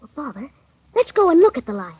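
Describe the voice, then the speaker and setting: A man voicing a small, curious, pleading boy in a 1930s radio drama, with a high, whining, insistent tone on an old broadcast recording. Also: big pitch swings, fast, narrow, tinny audio.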